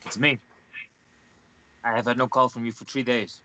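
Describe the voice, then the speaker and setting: A man's voice: mid-range voice, level tone, moderate pace, sharp tone, slight background noise, close to an everyday voice, low-quality recording. A man playing a driven, suspicious journalist in a drama read-through, speaking in an insistent, clipped way. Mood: urgent.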